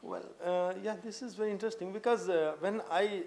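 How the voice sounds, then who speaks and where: Halting Indian man with faint room hum. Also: sits high, fairly even intonation, darkish tone. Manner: earnest, halting, lots of uh fillers and restarts. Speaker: poet guest. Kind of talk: interview answers. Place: indoor room with tapestries and a sofa.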